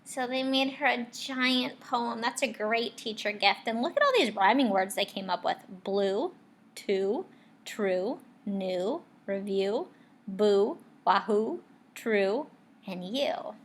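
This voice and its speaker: Slow-paced woman reading aloud to children. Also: medium pitch. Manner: expressive, questioning, addresses young listeners directly. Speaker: teacher reading a picture book aloud. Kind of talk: read-aloud storytelling with asides to children.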